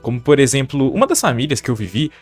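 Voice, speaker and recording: Young Brazilian man, Minas Gerais accent, mid pitch, casual chatty vlog storyteller, faint music bed. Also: quick pace, husky. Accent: Brazilian Portuguese, Minas Gerais. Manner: chatty, frequent tag questions, lively bursts. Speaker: vlogger.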